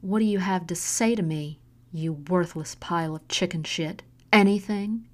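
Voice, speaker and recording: A woman voicing a drunk, cruel mother who taunts and shouts with harsh scorn, in a storybook reading. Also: on the low side, normal speed, close to an everyday voice. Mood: calm, contemptuous. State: drunk, slurring. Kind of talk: audiobook narration.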